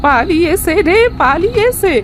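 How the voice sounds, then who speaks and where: Shrill, crafty old granny. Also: female voice, expressive, normal speed. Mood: worried. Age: older. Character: crafty old granny who craves yogurt. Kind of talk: Bengali cartoon voice acting. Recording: loud music behind the voice. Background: music.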